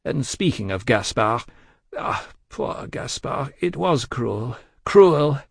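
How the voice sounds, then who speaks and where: A man's voice: medium pitch, big pitch swings, slow pace, creaky, slightly rough, no echo, studio quality, full character voice. A man voicing a genteel English spy in an audiobook, polite and slyly insinuating.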